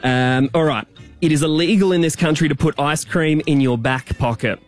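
A man with a fairly high voice hosts a radio quiz in a casual, chatty style. He explains and banters as co-host, with music running behind him. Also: normal speed.

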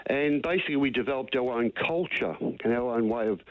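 A man's voice: mid-range voice, fairly quick, no echo. A man with a broad Australian accent on a phone line, calling a radio show with earnest, matey, opinionated talk. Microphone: telephone. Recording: tinny, narrow phone line.

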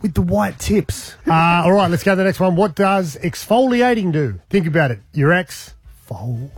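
A man's voice: sits high, animated, moderate pace, husky, no echo. A man with an Australian accent hosting a radio quiz with teasing, blokey banter. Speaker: breakfast radio host and quizmaster. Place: radio studio.

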